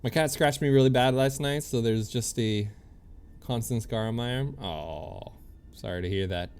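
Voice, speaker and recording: A man's voice: medium pitch, moderate pace, darkish tone, clear, resonant tone. A man giving casual livestream commentary on a video game, easygoing and chatty as he thinks aloud and reads out on-screen text. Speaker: game streamer.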